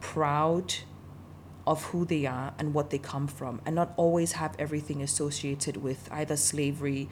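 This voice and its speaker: A woman's voice: deep voice, fairly even intonation, moderate pace, a little hiss or hum. A woman who grew up in Denmark, talking passionately and emphatically in a casual conversation, often repeating herself.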